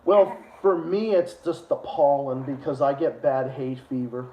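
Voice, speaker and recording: A man's voice: mid-range voice, unhurried, warm tone, slight background noise. A man co-hosting a casual livestream show, talking in a crude, rambling and repetitive way. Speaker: co-host. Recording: echoey room, dull lo-fi sound.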